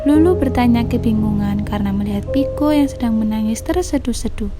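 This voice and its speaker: A woman's voice: a little high, fairly quick, over loud music. A young adult woman narrating a children's story. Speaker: storyteller, university student.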